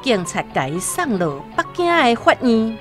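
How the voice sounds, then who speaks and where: A woman with a Taiwanese accent narrating a radio drama as an expressive scripted story. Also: a little high, moderate pace, over music.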